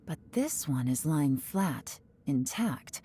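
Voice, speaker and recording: A woman playing a cool, composed, shrewd investigator in a video game, speaking with measured assurance. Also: low voice, very animated, dark tone, slight background noise, exaggerated voice. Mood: serious, probing.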